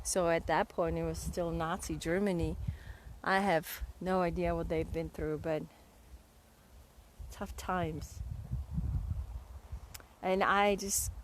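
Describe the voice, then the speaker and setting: A woman's voice: on the low side, steady tone, takes it slowly, long pauses. A woman from the Czech Republic with a Czech accent, talking in a heartfelt, casual and reflective way as she hosts a livestream outdoors. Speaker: vlog host. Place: outdoors at sunset. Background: loud outdoor noise.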